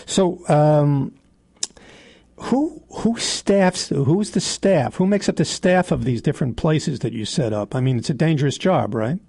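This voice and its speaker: A man's voice: fairly high pitch, lively intonation, measured pace, mellow, husky, no echo. A man hosting a radio interview, asking probing questions that he often restarts mid-sentence.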